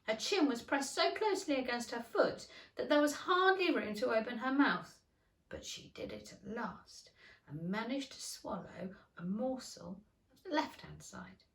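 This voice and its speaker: Expressive woman narrating, echoey room. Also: on the high side, measured pace, distant mic. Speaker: teacher reading to pupils. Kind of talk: expressive children's storybook narration.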